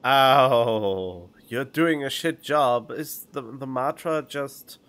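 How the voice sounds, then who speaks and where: A man who is not a native English speaker, giving casual, blunt game commentary with halting phrasing. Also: mid-range voice, a bit bright. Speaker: game streamer. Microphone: studio condenser microphone. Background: faint game music.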